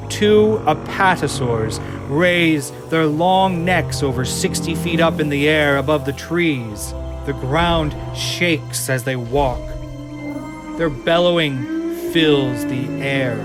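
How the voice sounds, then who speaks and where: A man narrating an audio drama, reading scene descriptions in a measured storytelling style. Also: fairly high pitch. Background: music.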